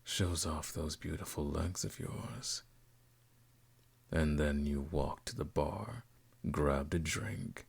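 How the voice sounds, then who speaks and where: Man playing a very deep, creaky vampire lord. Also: full character voice. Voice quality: deep, creaky, breathy at times. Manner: slow, teasing, drawn-out, condescending. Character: suave predatory male vampire lord, teasing and seductive. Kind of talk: ASMR roleplay audio drama. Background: music.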